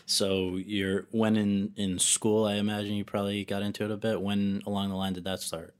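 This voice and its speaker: A man's voice: lowish voice, flat delivery, normal speed, clean tone. A man interviewing an actress, asking friendly, rambling questions. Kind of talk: conversational interview.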